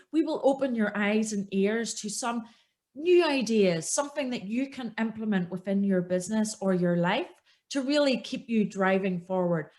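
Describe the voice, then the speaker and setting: Upbeat woman hosting a podcast. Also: medium pitch. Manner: upbeat, enthusiastic welcome. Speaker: podcast host. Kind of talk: scripted podcast introduction.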